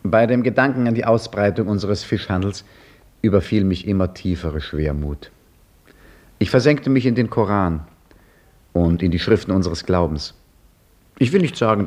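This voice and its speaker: A low, husky male voice as a brooding husband, wry and reflective while he narrates in a 1950s radio play. He sounds thoughtful and gently teasing. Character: Hakim, an idle, brooding Egyptian fish merchant's husband. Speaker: narrator and lead character. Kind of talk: 1950s radio drama acting.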